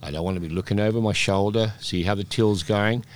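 A man in his sixties, raised in Peru, telling rambling stories with many restarts as a guest in a relaxed interview. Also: lowish voice, fairly quick. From Scotland, raised in Peru. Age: 60s. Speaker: guest, oyster bar operator.

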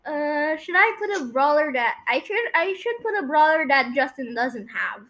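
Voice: high-pitched, expressive, moderate pace, sharp tone. A young-sounding podcast host chatting casually through a guessing game.

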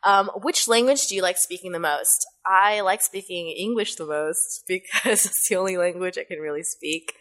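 Podcast host, woman, youthful kid-like voice but pitched a bit low, first language Mandarin, rambly and chatty. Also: moderate pace, hardly any pauses, a bit bright. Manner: chatty, rambling, laughs often. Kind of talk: casual podcast chat.

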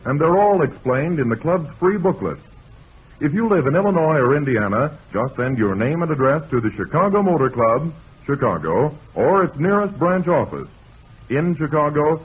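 An American man announcing old-time radio, giving a formal sponsor pitch in a husky voice. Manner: formal, salesmanlike. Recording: muffled old radio recording.

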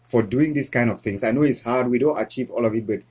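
Earnest man from Cameroon, husky voice. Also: medium pitch, darkish tone. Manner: earnest, halting with frequent restarts. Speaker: guest. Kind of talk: casual interview conversation.

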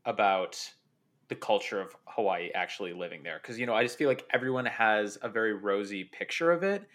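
Curious man hosting, low voice. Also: brisk, a bit bright. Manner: friendly and curious, filler-heavy questions. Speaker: podcast host. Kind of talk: casual interview hosting.

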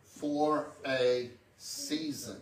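A man's voice: mid-range voice, very slow. A man in his sixties preaching a conversational teaching sermon, often repeating words and checking in with amen and okay. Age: 60s. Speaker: pastor preaching. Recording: echoey room, distant microphone.

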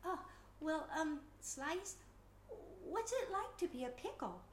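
A woman's voice: high voice, expressive, slow pace, a little hiss or hum, pitched above the performer's own voice. A woman voicing a curious, wonderstruck young boy who stammers a little, in a roomy-sounding recording. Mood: hesitant. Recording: roomy echo.

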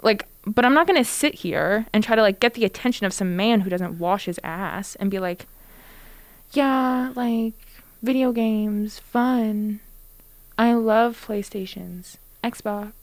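A young woman talking on a podcast in a blunt, sarcastic, rambling way. Her voice is smooth and clear, and it sounds close and dry, with no room echo at all. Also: medium pitch, unhurried. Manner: blunt, sarcastic, heavy use of "like". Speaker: podcast co-host. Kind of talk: casual podcast chat.

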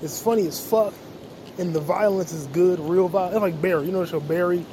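A man's voice: sits high, a little fast, slight background noise, low-quality recording. A young man hosting a podcast on his own, rambling, joking and cursing freely while he walks outside at night. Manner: profane, loose, joking. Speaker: podcast host. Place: outdoors on a quiet street.

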